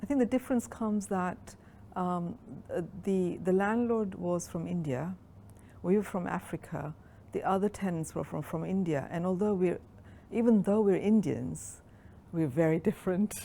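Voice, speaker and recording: Low, gravelly-voiced woman in her sixties. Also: mellow, a little hiss or hum. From Kenya. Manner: reflective, unhurried storytelling with frequent ums. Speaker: interviewee. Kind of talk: oral history interview.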